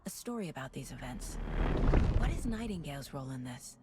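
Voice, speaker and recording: An actress playing an intense, probing investigator who thinks through a murder case in brooding, deliberate questions. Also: female voice, low voice, sing-song, very noisy. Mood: grave, probing. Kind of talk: scripted inner-monologue narration.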